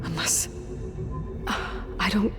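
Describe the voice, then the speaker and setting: An actress playing a determined, inquisitive young heroine in a video game, with a thoughtful, questioning and direct delivery. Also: female voice, slow pace, close to an everyday voice. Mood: shocked, dawning realization. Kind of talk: scripted video game dialogue. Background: music.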